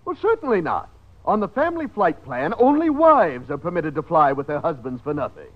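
An actor playing an incredulous, officious airline ticket official who is easily exasperated, in a vintage radio comedy. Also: male voice, fairly high pitch, very animated, a little fast. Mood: scandalized. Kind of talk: 1950s radio comedy acting. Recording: muffled old radio recording.

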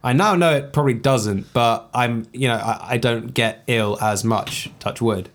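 A man's voice: medium pitch, a little slow. Easygoing man chatting on a podcast. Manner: relaxed, earnest storytelling with fillers. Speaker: podcast co-host reading listener posts. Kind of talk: casual chat.

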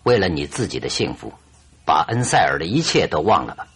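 Enigmatic detective, low husky voice, swooping intonation, measured and firm, radio drama. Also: male voice, very animated, fairly bright tone, exaggerated voice. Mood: cryptic, grave. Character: shrewd, enigmatic, composed male detective.

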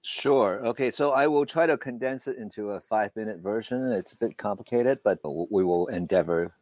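A man from Hong Kong and Taiwan, a film producer, answering interview questions in a measured way. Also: lowish voice, a little mellow, no echo.

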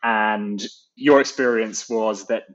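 A man hosting an academic interview, speaking hesitantly and filling pauses with "uh" and "you know.". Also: fairly low pitch, steady tone. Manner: hesitant, many fillers. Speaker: podcast host. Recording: dull lo-fi audio.

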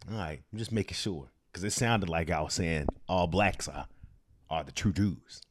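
Very deep-voiced man, noisy recording. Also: moderate pace. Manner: recounts conversations, acts out quotes. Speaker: podcast co-host. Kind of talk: casual chat, storytelling.

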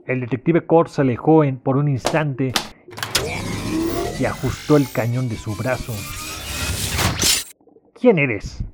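A man's voice: medium pitch, measured pace, long pauses. A man reading scripted narration for a sci-fi noir audio drama. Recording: clear background noise, ambient sound effects.

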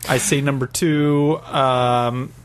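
A man hosting a casual podcast, joking around and pausing often with fillers. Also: mid-range voice, steady tone, clear, resonant tone. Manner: joking, halting with many fillers. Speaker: podcast host. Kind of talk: casual chat.

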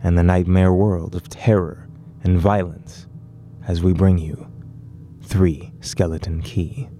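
Low, dark-toned male radio drama announcer. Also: level tone, slow. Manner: dramatic, portentous. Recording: music behind the voice.

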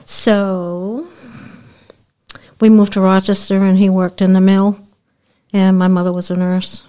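A woman's voice: mid-range voice, little pitch movement, measured pace, mellow, clean tone. An older woman from New Hampshire, a podcast guest recalling her childhood in plain, brief answers. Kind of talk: reminiscing in conversation.

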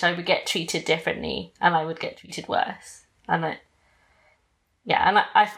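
A British woman hosting a conversational interview, gentle and affirming, with frequent soft murmurs of agreement. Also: fairly low pitch. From Britain. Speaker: podcast host.

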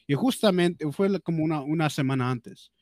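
A man's voice: on the high side, normal speed, a little mellow, no echo, clean studio sound. A man chatting casually as a podcast guest, slangy and jokey, peppering his Spanish with English fillers. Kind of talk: casual bilingual chat.

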